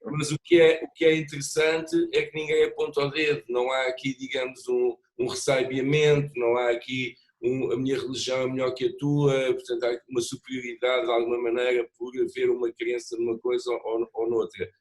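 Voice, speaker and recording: A middle-aged man talks through his ideas on a discussion panel in a measured, reflective way. He hesitates often, restarts his phrases, and speaks in an even, mid-pitched voice. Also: low-quality recording. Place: lives in Macau.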